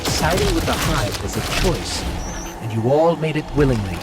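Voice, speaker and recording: Game role: male actor as loyal, wary robot guide, earnest and expressive, loud soundtrack underneath. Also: medium pitch, moderate pace, lightly acted. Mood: resolute, righteous. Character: loyal, suspicious floating robot companion, earnest and protective. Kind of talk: video game cutscene acting. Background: loud background music.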